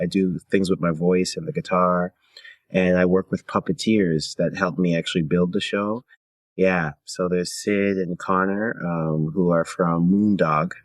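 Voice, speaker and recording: A man with a very deep voice speaks as a guest in a relaxed interview. He comes from Canada and thinks aloud in short, halting phrases. The sound is very dry, with next to no room in it. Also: no room sound. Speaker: guest, singer-songwriter and theater performer. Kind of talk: casual interview conversation.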